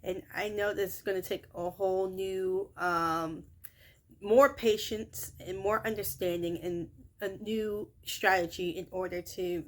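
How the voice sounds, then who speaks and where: A young woman who works in childcare recaps her day in a casual vlog, her high, shrill voice rambling with many fillers and self-corrections. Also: fairly even intonation, unhurried. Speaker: childcare worker.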